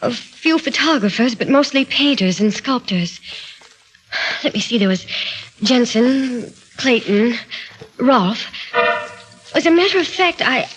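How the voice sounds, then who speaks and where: A shaken, grieving young woman in old-time radio style. The voice is fairly high yet breathy and husky, speaking earnestly in halting, hesitant phrases. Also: lively intonation, low-quality recording. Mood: sad, earnest. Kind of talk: 1940s radio drama acting.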